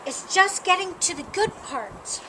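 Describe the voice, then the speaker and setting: A woman voicing a grumpy, picky male bear from a storybook, with a fussy, formal and often loud manner. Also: extremely high pitch, animated, unhurried, noisy background, pitched above the performer's own voice. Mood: loud, indignant.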